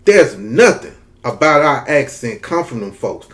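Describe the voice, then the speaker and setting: A man's voice: mid-range voice, very animated, measured pace, fairly bright tone. A man from Mississippi with a Southern drawl, giving emphatic, repetitive opinion commentary straight to his viewers. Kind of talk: casual opinion commentary to camera.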